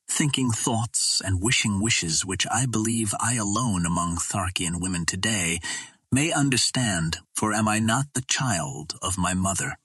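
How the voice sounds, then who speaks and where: A man voicing Sola, a lonely, gentle young female green Martian, speaking softly and wistfully in an audiobook. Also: fairly low pitch, slightly rough, dry recording, full character voice.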